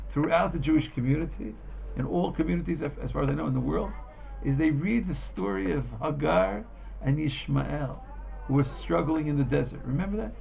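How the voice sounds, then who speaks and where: A man giving an informal Torah class as a rabbi, in a halting, emphatic manner that often repeats words. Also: a little high, vocal fry, a little mellow, a little hiss or hum, rough recording. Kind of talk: informal religious lesson.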